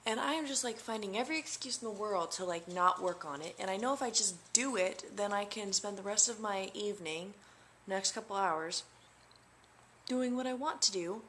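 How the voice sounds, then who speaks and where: A young woman talking to her camera in a loose, rambling diary style, full of "like" and "um". Her voice sits at a middling pitch. Faint background noise runs under her talk. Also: a bit bright. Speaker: vlogger. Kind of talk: casual diary vlog to camera.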